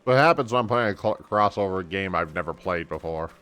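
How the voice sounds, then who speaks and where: Low-voiced man streaming a game. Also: expressive, a little fast, a bit bright, soft background music. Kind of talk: casual game commentary.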